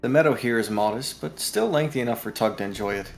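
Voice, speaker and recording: A man's voice: mid-range voice, fast talker, gravelly, soft background music. A man reading descriptive narration from interactive fiction aloud on a live stream. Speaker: co-reader on a gaming live stream.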